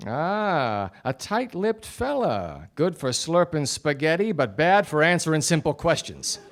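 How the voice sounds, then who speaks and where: A man playing a wry, deadpan 1940s detective in a radio play, narrating his case and trading wisecracks. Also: a little high, measured pace. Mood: wry, probing. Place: stage before a studio audience. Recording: live radio play with music behind.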